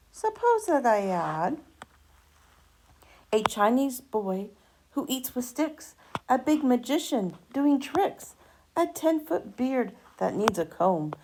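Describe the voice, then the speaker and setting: A woman reading a rhyming children's picture book aloud with animated, dramatic emphasis and drawn-out words. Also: a little high, a little hiss or hum, rough recording.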